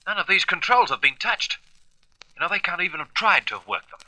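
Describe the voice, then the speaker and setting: A man playing a steady, commanding space hero and crew leader in a radio drama, deliberate and authoritative. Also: high voice, no echo, heavily acted, rough recording. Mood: pondering.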